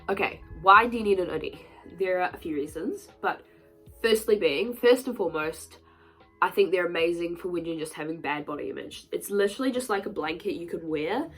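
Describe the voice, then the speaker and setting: A young woman with a stuffed-up nose from a cold, chatting earnestly to camera with a lot of "like" in her talk. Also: fairly low pitch. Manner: chatty and earnest, many "like" fillers. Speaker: vlogger. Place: sunny room at home. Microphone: camera a little way back.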